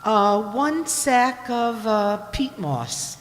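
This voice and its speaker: A woman's voice: a little high, sing-song, very slow, slight background noise, full character voice. A woman playing a reserved, hesitant housewife in an amateur radio play. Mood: hesitant, uneasy. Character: reticent, faintly troubled housewife shopping for groceries. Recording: echoey hall, long reverb.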